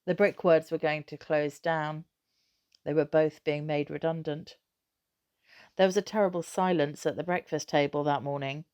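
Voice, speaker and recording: A woman reading a children's novel aloud as an expressive narrator. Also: low-pitched, moderate pace, clear, resonant tone, no room sound, clean studio sound. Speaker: storybook reader.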